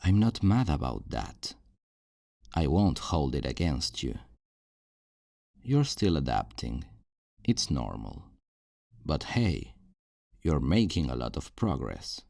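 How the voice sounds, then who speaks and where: A man acts out a controlling kidnapper with an extremely low, mellow voice that swings expressively. He is possessive and menacingly tender, coaxing and talking down to his captive in a close, dry ASMR roleplay. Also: sing-song, gravelly, no echo, exaggerated voice. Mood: condescending, controlling. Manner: slow, coaxing, condescending pet-name talk. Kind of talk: ASMR roleplay, intimate monologue to the listener.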